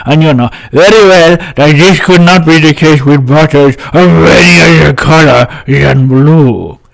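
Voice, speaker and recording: A man's voice: a little high, gravelly, voice pushed up, low-quality recording. A man voicing a very aged, genteel male bluebottle fly, talking with a finger between his lips to make a buzzing lisp and drawing out his words. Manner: slow, drawn-out delivery.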